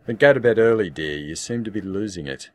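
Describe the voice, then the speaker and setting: A man voicing a short-tempered, guilt-ridden husband who talks in a gruff, snapping way. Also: low-pitched, animated, moderate pace. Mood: cross.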